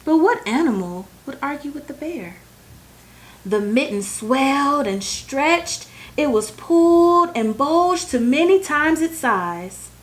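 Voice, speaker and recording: A woman reading a children's bedtime story aloud, with expressive, deliberate phrasing aimed at young listeners. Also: high-pitched, poor audio.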